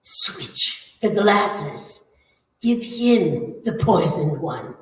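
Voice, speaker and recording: A woman playing a menacing, bloodthirsty old female vampire in old-time radio horror style, with commanding, drawn-out phrasing. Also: fairly low pitch, lively intonation, a little hiss or hum. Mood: urgent, conspiratorial. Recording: echoey hall, far from the mic.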